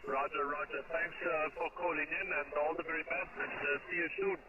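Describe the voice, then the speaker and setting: A man working as an amateur radio operator, giving brisk contact exchanges over a shortwave transmission. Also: on the high side, flat delivery. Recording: muffled radio reception, very heavy static.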